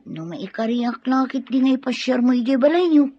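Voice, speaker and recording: A woman acting a shy, sincere young student being courted in an Ilocano audio drama, with a breathy voice. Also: high-pitched, lively intonation, moderate pace, dark tone, dry recording. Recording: dull, lo-fi dry recording.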